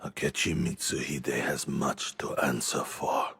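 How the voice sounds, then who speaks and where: An actor playing a grave, weary warrior of feudal Japan in a video game drama, speaking in a measured, husky, gravelly voice. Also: male voice, rumbling low, flat delivery, a bit bright, exaggerated voice. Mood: grave, thoughtful.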